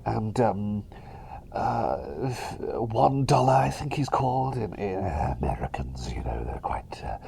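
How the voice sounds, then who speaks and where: A man playing a cheery, eccentric plague doctor in a whispered ASMR roleplay, polite, chatty and hesitant, bumbling along with excitable eagerness. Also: fairly low pitch, takes it slowly, gravelly, slight background noise, full character voice. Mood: rambling, hopeful.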